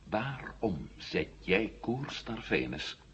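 A man's voice: lowish voice, sing-song, slow pace, a bit bright, exaggerated voice. An actor playing a cocky, determined hero questioning his captive in a 1970s radio drama, wry and commanding. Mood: interrogating. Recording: muffled, noisy old radio drama recording.

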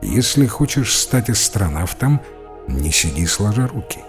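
A man voicing a kindly but gently stern priest who counsels in a calm, encouraging, preachy way. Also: lowish voice, a little slow, faint music behind. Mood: firm, encouraging.

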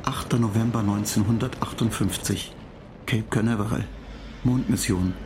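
An actor in a German radio play voicing a cool, superior computer mind, reciting in a detached, measured way. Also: male voice, fairly low pitch, steady tone, background noise, close to an everyday voice. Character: cool, superior computer mind lecturing human space travellers. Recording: music behind the voice.

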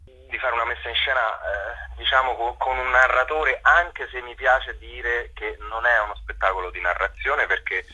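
A man giving spontaneous interview answers as a theatre director, reflective and halting, often rephrasing himself mid-sentence. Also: mid-range voice, sharp tone, slightly rough. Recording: muffled, lo-fi radio phone-in sound.

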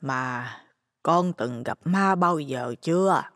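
A man's voice: fairly high pitch, fairly bright tone, no room sound, clean studio sound, big-room sound, voice pushed up. A man voicing a ghostly old woman, thin-voiced, mocking and eerie, in a horror audio story. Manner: slow, drawn-out, taunting.

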